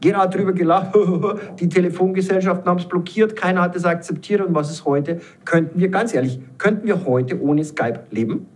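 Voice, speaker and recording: Man, 50s, higher pitch, emphatic, enthusiastic pitch, indoor panel. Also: a little fast, few pauses. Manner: emphatic, repeats words for stress. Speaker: network marketer. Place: indoor event room, panel seated around a table.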